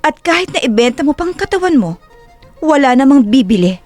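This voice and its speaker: Woman as a high-voiced, proud young heiress. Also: sing-song, brisk, faint music behind. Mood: cutting, defiant. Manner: cool, cutting, self-assured. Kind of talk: audio drama acting.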